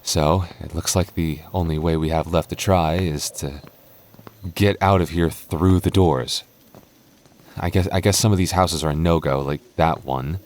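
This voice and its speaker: A man's voice: very deep voice, fairly even intonation, a little mellow. A man playing an anxious, protective goth boyfriend in an audio roleplay, stammering and wry as he talks closely to his partner. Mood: uneasy. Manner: stammering, halting, nervous, wry.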